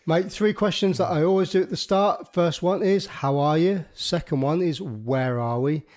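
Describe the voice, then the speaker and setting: A man's voice: a little high, normal speed, a little mellow. A man hosting a surf podcast, asking questions in a matey, chatty way and ending them with tag questions. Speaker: podcast host. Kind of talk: casual interview chat.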